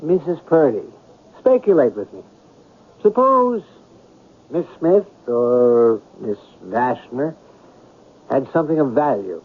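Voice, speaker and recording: An actor playing an inquisitive, imaginative writer turned sleuth in a 1970s radio drama, musing in a theatrical, deliberate way. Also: male voice, medium pitch, very animated, breathy edge, exaggerated voice. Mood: speculating. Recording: muffled, lo-fi old radio recording.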